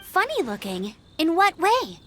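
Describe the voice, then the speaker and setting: A woman voicing a confident, earnest young female knight scout in a video game, brisk and determined. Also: very high-pitched, huge pitch swings, fairly bright tone, a little hiss or hum, full character voice. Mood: questioning.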